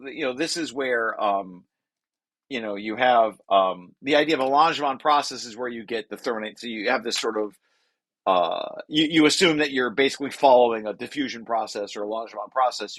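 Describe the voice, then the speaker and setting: A man presenting a technical paper aloud, reading and adding explanations in a halting way with self-corrections. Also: mid-range voice, a bit bright, dry recording. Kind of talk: technical table read with explanatory asides.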